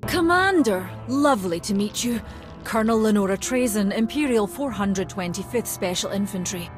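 A woman's voice: medium pitch, natural voice. Courteous, dutiful female military colonel played by an actress for a game, clipped formality over prominent music. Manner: crisp, formal, dryly polite military diction. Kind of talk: video game voice acting.

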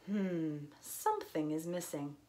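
A well-meaning, sociable storybook bear, as a woman reader plays it. The talk is slow and earnest. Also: sits low, mellow, a little hiss or hum, voice pushed down.

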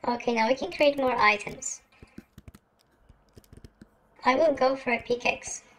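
A man's voice: fairly even intonation, unhurried, fairly bright tone, slight background noise, low-quality recording. A young man voicing a little girl through a real-time voice changer, giving casual game commentary. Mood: playful, relaxed. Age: young adult. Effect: real-time pitch-raising voice changer.

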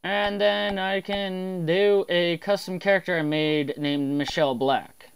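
A man's voice: high voice, fairly even intonation, a little slow. A man talking casually on a livestream as an amateur voice actor, with faint noise and clicks behind him.

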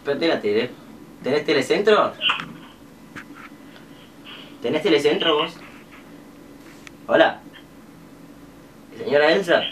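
A man's voice: a little high, lively intonation, a little slow, pauses often, a bit bright. A young Argentine man speaks casually, like a prize announcer on a prank phone call. He is picked up a little far from the mic, with faint noise around him in a bedroom. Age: young adult. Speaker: prank caller. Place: small bedroom. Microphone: camera across the room. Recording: distant camera mic, faint room noise.

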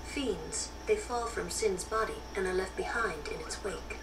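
A woman's voice: mid-range voice, a little slow, noisy background, close to an everyday voice. A woman voicing a cool, knowing mage in a fantasy video game, speaking in a matter-of-fact, instructive way. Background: game music.

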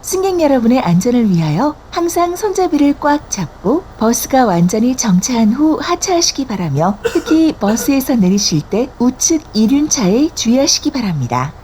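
A woman with a high voice reads scripted announcements with animated intonation. Her delivery is polite and polished, every word carefully enunciated. There is faint noise behind her. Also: normal speed, hardly any pauses. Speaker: bus advertisement and safety announcer. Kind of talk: scripted promotional and public announcement.

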